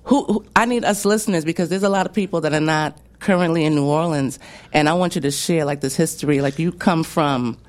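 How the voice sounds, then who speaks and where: A woman's voice: deep voice, a little fast. A woman hosting a radio music show, chatty and enthusiastic as she talks with her guests. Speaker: radio host. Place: radio studio.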